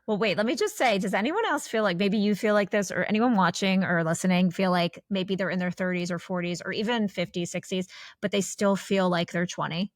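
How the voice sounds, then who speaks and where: A woman's voice: mid-range voice, fairly quick, dry recording, clean studio sound. A woman in her forties co-hosting a podcast, talking candidly in a rambling, casual way with many restarts. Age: 40s. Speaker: podcast co-host.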